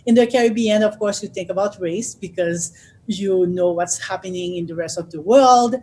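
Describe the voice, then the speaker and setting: A woman from Haiti, telling her own story as a guest in a thoughtful way, often pausing with fillers and restarting. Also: mid-range voice, warm tone. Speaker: author and guest speaker. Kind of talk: personal storytelling.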